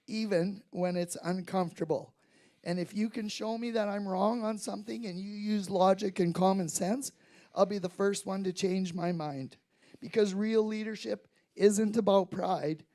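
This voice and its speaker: Candidate, mid pitch, plainspoken and emphatic, stump speech. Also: normal speed, dark tone. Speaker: council candidate. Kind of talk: prepared campaign speech. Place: community recreation center hall.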